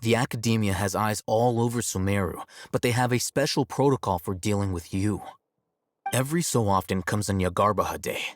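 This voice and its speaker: Man playing an aloof, low-voiced young scholar. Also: lightly acted. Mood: explanatory, grave. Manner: measured, detached, matter-of-fact. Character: aloof, coolly logical young male scholar. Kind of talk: video game character dialogue.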